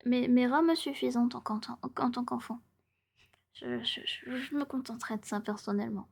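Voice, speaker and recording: Young woman streamer, kinda high soft breathy voice, whispery asmr chat, playful n repeats herself. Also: steady tone, normal speed. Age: 20s. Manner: playful, chatty, repeats phrases. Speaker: live streamer. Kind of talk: casual livestream chat, often whispered ASMR.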